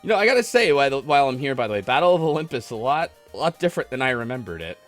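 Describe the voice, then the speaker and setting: A man's voice: medium pitch, big pitch swings, very fast. Man, livestream host, casual gamer chat, rambling, self-deprecating, retro game music behind. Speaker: host of a gaming livestream podcast taking callers. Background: retro video game music.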